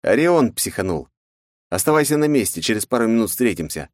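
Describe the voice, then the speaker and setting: A crude, irritable young male hero, read by the man narrating, cursing and snapping, his voice jumping around in pitch. it sounds close and dry, with no room sound. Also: sing-song, brisk, no room sound. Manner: swearing, gruff, in pain. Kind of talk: audiobook character voice.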